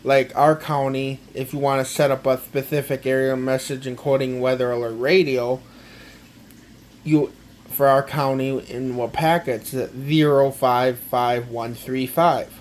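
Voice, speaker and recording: A man gives a casual, halting, step-by-step product walkthrough, often repeating himself. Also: mid-range voice, steady tone, a little hiss or hum. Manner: halting, repetitive, step-by-step explaining. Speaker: amateur gadget demonstrator.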